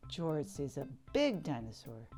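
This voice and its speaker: Lady reading kids stories out loud, low voice, swoopy sing-song, faint music behind. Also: very animated, measured pace. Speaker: children's book reader. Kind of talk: read-aloud storybook narration.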